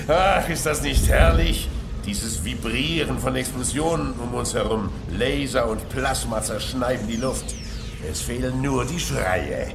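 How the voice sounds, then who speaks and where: Sci-fi warlord villain: commanding, sadistically gleeful, mid pitch, music behind. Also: male voice, takes it slowly, creaky, echoey room. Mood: gloating glee. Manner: commanding, gloating. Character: male villain commander relishing battle with sadistic glee. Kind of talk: German sci-fi audio drama acting.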